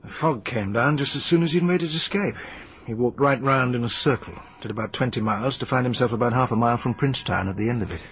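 A patient, persistent police inspector in a radio drama, measured and polite as he reports and questions. His voice is husky and rough-edged, pitched in an ordinary middle range. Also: fairly bright tone. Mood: earnest report. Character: dogged, skeptical police inspector Narracott.

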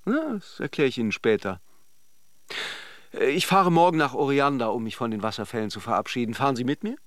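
Radio drama lover, male actor: teasing, reflective charmer, highly animated delivery, dry sound. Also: brisk, no room sound, close to an everyday voice. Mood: teasing, flirtatious. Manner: teasing and joking, musing when alone. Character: playful, flirtatious Russian philanderer, charming but privately reflective. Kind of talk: radio drama acting in intimate dialogue and monologue.

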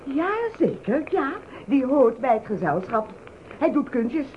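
An actress playing a flustered, grumbling, talkative cook in a Dutch radio play. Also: female voice, sits high, animated, unhurried. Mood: grumbling, blabbing. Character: flustered, grumbling female cook who can't keep quiet. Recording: muffled, slightly noisy radio recording.

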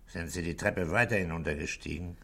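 An actor playing an authoritative, patient police chief inspector in a 1960s German radio play, with a terse, probing, commanding way of talking. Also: male voice, sits low, fairly even intonation, a little fast, creaky, a bit bright, exaggerated voice.

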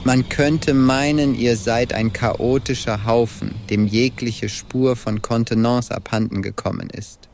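A man's voice: medium pitch, fairly even intonation, a little slow, few pauses, darkish tone, slight background noise, lightly acted. A man playing a self-assured female Venus goddess and temple hostess in an audio drama, speaking formally and with composure. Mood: reproachful.

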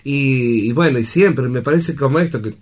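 Earnest Argentine man, middle-pitched voice. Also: moderate pace, husky. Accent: Argentine Spanish. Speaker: guest, theatre director. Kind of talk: casual studio conversation.

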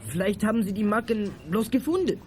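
High-voiced, thoughtful boy. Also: lively intonation, brisk, slight background noise. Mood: doubtful. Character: Kemal, thoughtful, fair-minded boy. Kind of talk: 1980s German youth radio play acting.

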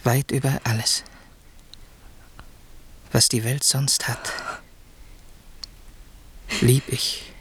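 Radio drama casting: young prince, adoring and servant-humble, ornate verse delivery, husky mid-range voice. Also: male voice, medium pitch, little pitch movement, very slow, long pauses, fairly bright tone, in a whisper, full character voice. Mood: fervent. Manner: lofty, ornate declamation.

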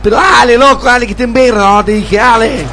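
A man's voice: very high-pitched, fairly quick, bright, forceful tone, a little hiss or hum, full character voice. A man playing a spoiled, snobbish young Argentine businessman in a radio comedy-drama, whining, stammering and repeating himself. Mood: angry, panicked. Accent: Argentine (Rioplatense).

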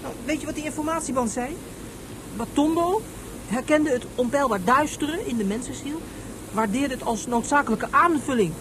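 A woman's voice: high voice, normal speed, noisy background, close to an everyday voice. A woman playing an eager, precocious young boy in a science fiction radio play, insistent and tripping over repeated words. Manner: breathless childlike insistence, stammered repeats.

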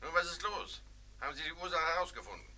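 A man's voice: fairly high pitch, fast talker, bright, forceful tone. A man playing an impatient, commanding government minister in a German radio drama, speaking brusquely through a telephone filter. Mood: brusque urgency. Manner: brusque, urgent, authoritative.

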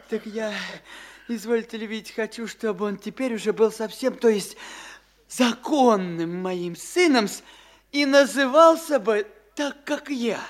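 An actor playing a timid small-town landowner in a radio play, meek and hesitant, servile toward his guest. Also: very high-pitched. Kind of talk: classic radio theatre acting.

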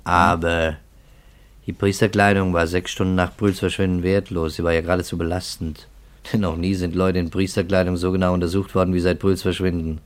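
An actor playing a skeptical, coolly ironic investigator in a 1950s German radio play, speaking in a measured, pointed way. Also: male voice, low voice, little pitch movement, close to an everyday voice. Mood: matter-of-fact.

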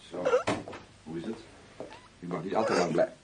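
An actor playing a courteous hospital staff man in a radio play, formal, measured and matter-of-fact. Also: low voice, natural voice. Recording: echoey room, clear background noise.

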